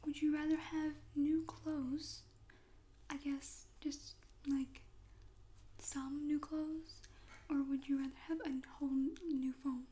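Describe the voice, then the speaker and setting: A young woman hosts a soft-spoken ASMR interview roleplay, mostly in a breathy whisper. Her voice is high yet mellow, and she takes her time, putting gentle, informal questions with pauses between them. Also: little pitch movement, slight background noise. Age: young adult. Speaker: ASMR host. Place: small room at home, indoors.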